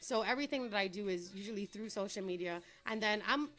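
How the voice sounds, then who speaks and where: A woman's voice: mid-range voice, fast, fairly bright tone. Upbeat talkative Trinidad-born woman. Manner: upbeat, talkative, laughs easily. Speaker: entrepreneur guest. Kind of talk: casual interview chat.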